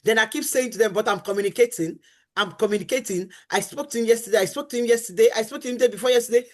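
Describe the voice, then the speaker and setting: A woman's voice: on the high side, rapid-fire, darkish tone. A middle-aged woman on a faith-based talk show, teaching about marriage. She talks in an earnest, emphatic way, repeats her key phrases, and acts out what spouses say.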